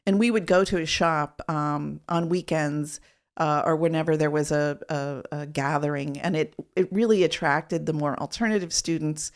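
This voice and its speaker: A woman from Arizona, a guest in a casual interview, speaking thoughtfully with many pauses, ums and restarts. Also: deep voice, fairly even intonation. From Tucson, Arizona.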